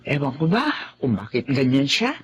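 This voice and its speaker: An actress playing a kindly, worried mother who speaks gently about her difficult daughter. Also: very deep voice, very animated, moderate pace, slight background noise. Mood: concerned.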